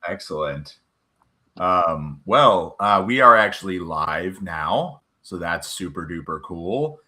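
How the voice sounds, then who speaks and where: Man, stream host, bright forceful tone, lowish voice, joking banter. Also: expressive, slow. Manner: joking, self-deprecating. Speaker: livestream host. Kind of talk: casual chat.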